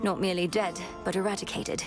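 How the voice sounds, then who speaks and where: A woman playing a resolute young goddess princess in a video game, speaking in a formal, measured, solemn way. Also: mid-range voice, fairly even intonation, over music, close to an everyday voice. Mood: solemn, assured. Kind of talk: dramatic video game voice acting.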